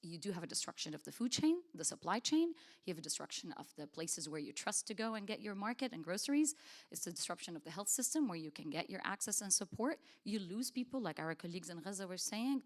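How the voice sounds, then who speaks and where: Earnest woman speaker, low voice. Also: lively intonation, fast. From Lebanon. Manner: earnest, emphatic, frequent restarts and fillers. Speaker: conference speaker. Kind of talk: conference presentation. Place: conference hall.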